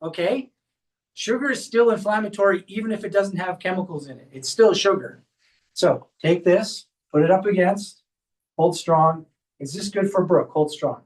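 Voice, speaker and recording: High-voiced man leading a clinic workshop. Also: normal speed, recorded from a distance, poor audio. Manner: explains step by step while guiding a volunteer. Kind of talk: hands-on demonstration talk.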